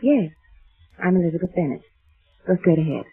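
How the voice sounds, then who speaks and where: A narrow, vintage radio recording of a deferential young secretary in a crime drama. Her voice is breathy and subdued, with faint music behind it. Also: on the low side, expressive, a little fast, heavily acted. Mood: subdued, polite. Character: meek, plain young secretary, niece of a bullying boss. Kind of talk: old-time radio crime drama acting.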